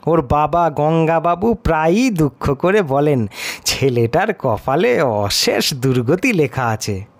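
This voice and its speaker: Man acting irritable male stranger, fairly high voice, sarcastic scolding, audio-story drama, dry close sound. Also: very animated, takes it slowly, no echo, full character voice. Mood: curt, scornful. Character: irascible cigar-smoking stranger, scornful critic of ghost stories.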